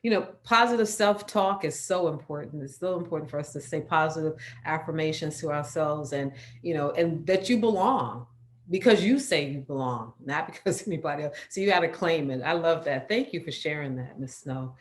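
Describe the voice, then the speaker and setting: Low-voiced woman moderator. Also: animated, moderate pace. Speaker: panel moderator. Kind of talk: moderating a panel.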